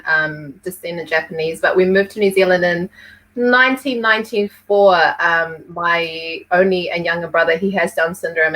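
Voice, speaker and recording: A woman's voice: fairly low pitch, fairly bright tone, rough recording. A woman from Peru, a podcast guest, talking casually with lots of fillers. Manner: chatty, many fillers, laughs easily.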